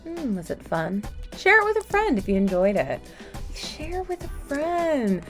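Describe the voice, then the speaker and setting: A woman's voice: high-pitched, sing-song, a little slow. A woman podcast host delivering a playful, chatty outro that speaks straight to the listener. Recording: music under the voice.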